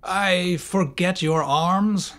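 Boastful tipsy aristocrat, high voice, slow slurred drawl, exclamatory, gothic audiobook. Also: male voice, fairly bright tone, heavily acted. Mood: tipsy, merry. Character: tipsy, boastful, foolish Italian nobleman friend. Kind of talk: dramatic gothic audiobook character voice.

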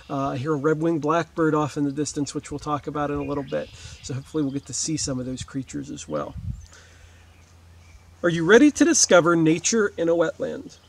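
A man's voice: on the high side, darkish tone. A man in his forties, a teacher, reading aloud expressively to children outdoors and explaining as he goes. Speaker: fourth-grade teacher. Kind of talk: read-aloud lesson for children, with asides. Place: outdoors beside a wetland lake.

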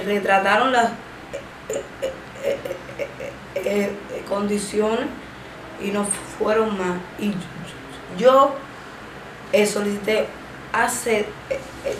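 A young woman in her twenties with a Cuban accent, speaking haltingly with a stammer and many drawn-out fillers while giving personal testimony in an interview. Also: medium pitch. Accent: Cuban Spanish. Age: 20s. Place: small indoor room, seated. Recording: echoey room with background noise.

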